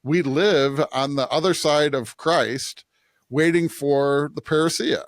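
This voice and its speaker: An American man co-hosting a conversational religious podcast, thinking aloud in a halting way, often rephrasing himself and checking in with his listener. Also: fairly high pitch, dry recording, clean studio sound. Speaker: podcast co-host, preacher.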